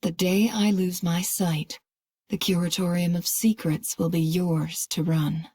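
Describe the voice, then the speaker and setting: A woman playing a composed, proud leader of a secret organization, speaking in a commanding, measured, wry way in a game cutscene. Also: on the low side, flat delivery, mellow, slightly rough, dry recording, studio quality. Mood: solemn, firm. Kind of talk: dramatic game cutscene acting.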